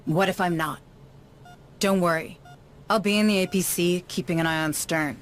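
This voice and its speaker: A woman's voice: lowish voice, steady tone, normal speed, slight background noise, lightly acted. An actress playing a resolute, principled woman in command of a sci-fi rescue mission in a video game. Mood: firm. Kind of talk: cinematic video game acting. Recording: loud music under dialogue.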